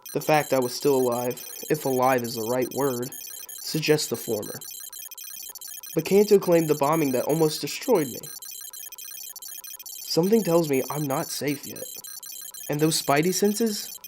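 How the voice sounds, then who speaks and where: A man's voice: on the high side, a little mellow. A male actor plays an anxious young man in an electronic body, in an audio drama. He is inquisitive and wry, often questioning, with faint music behind him. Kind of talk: audio drama dialogue and reflective first-person narration.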